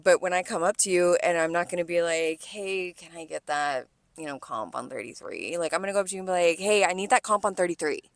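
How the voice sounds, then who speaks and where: An American woman chats casually as a podcast co-host, her voice sitting a little low. She tells stories with animation and swearing, slipping into quoted dialogue. The sound is very dry and close. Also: fast, vocal fry, hardly any pauses, dry recording. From California, United States. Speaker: podcast co-host, restaurant server. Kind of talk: casual storytelling chat.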